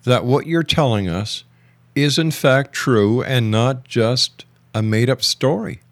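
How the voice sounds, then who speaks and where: A man's voice: medium pitch, slow, no room sound. A man hosting and presenting a radio interview show. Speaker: radio host.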